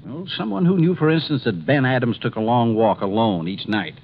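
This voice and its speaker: A man playing a troubled, formal company president in a 1950s radio drama, speaking deliberately and emphatically. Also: mid-range voice, expressive, close to an everyday voice. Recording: dull, faintly noisy 1950s radio recording.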